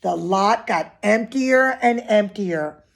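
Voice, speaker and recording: A woman's voice: mid-range voice, expressive, slow pace, creaky. A woman reading a picture book aloud expressively to young children, with simple asides and questions to her listeners.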